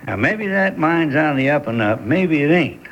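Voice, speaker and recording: An actor playing a wary, dejected Old West sheriff in a radio drama, plain-spoken with a folksy American accent. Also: male voice, on the high side, animated, normal speed, a bit bright, lo-fi sound, a little hiss or hum. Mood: suspicious. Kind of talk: 1970s Western radio drama acting.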